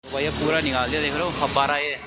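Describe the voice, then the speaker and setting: Talk to the phone like a young man showing friends his bike service, quick, loose and chatty. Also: a little high, flat delivery, very noisy. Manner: chatty, calls viewers "bhai" constantly. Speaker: motovlogger. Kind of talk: casual vlog narration to camera. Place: bike service shop, outdoors. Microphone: phone camera.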